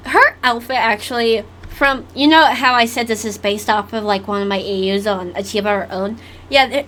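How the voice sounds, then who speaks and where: A woman's voice: fairly high pitch, a bit bright, slight background noise. A woman giving casual reaction commentary on her own animation, giggly and rambling. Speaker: animator reviewing own old work.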